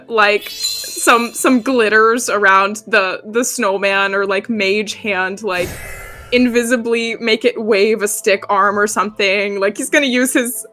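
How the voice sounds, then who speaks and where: A woman playing a tabletop roleplaying game, improvising her character's actions in a playful, halting way. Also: on the high side, sharp tone.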